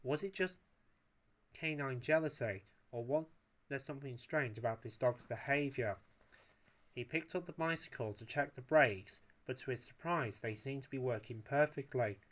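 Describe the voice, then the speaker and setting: A man's voice: medium pitch, normal speed, fairly bright tone. A man narrating a story as a storyteller, reading aloud from a text.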